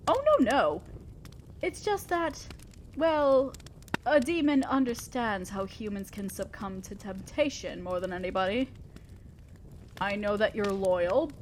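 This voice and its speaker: Slow, lilting female demon lord, acted by a woman. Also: medium pitch, a little hiss or hum. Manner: playful, genteel, theatrical, drawn-out musing. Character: teasing, refined female demon lord, indulgent toward mortal servant. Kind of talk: scripted audio roleplay, addressing the listener.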